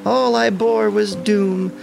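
Man playing sorrowful sea goddess, tender mother, high voice, mournful lament, epic audio drama, music behind. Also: steady tone, slow pace, creaky, heavily acted. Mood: grieving.

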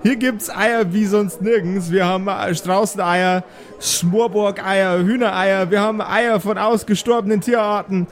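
A man's voice: sits high, steady tone, unhurried, pitched above the performer's own voice. A man playing an eager young restaurant receptionist in a tabletop game, gushing in repetitive sales patter. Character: eager well-dressed young male egg restaurant receptionist.

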